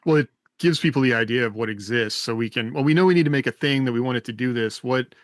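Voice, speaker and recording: A man's voice: medium pitch, quick pace, dry recording, studio quality. A man co-hosting a podcast in a casual panel chat. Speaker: podcast co-host.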